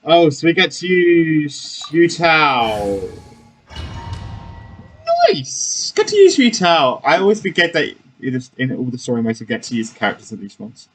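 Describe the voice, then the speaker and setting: Casting: young male game streamer, lively mid-range voice, casual off-the-cuff exclamations and mutters, light game music underneath. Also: fairly high pitch, a little slow. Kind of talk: casual gameplay commentary.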